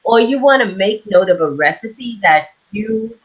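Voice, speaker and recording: A woman's voice: mid-range voice, expressive, unhurried, husky. A woman from the Bahamas explaining her work as an interview guest on an online call. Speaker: interview guest, aromatherapy business owner and author. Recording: dull lo-fi online call audio.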